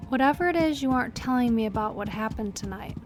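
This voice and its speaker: A woman's voice: on the high side, animated, fast talker, close to an everyday voice. A woman playing a tough, sardonic police captain who questions relentlessly in an audio drama. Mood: threatening. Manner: sarcastic, probing, unflinching. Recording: music behind the voice.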